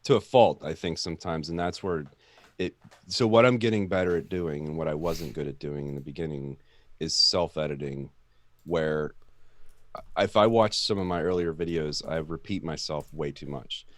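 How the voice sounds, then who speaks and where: A man with a deep, monotone voice hosting a casual chat, rambling and reflective with many restarts. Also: normal speed, no room sound. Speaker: podcast host.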